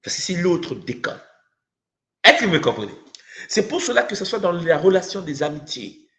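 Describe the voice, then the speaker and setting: Animated Congolese man teaching. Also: high voice, normal speed. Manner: rhetorical questions, acts out imagined dialogue, repeats for emphasis. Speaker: spiritual teacher. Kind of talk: impassioned spiritual teaching to an online audience.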